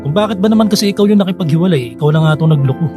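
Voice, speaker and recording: A man's voice: high voice, very fast, close to an everyday voice. A man playing a frank, caring friend who gives firm advice in a Filipino radio drama, with a Filipino accent. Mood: urging, firm. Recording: guitar music behind the voice.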